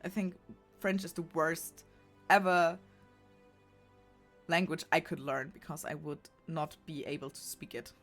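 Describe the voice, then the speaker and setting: A woman's voice: low voice, unhurried, pauses often, fairly bright tone, faint music behind. German-accented woman chatting on stream. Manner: rambling, frequent restarts and self-corrections. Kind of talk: casual chat.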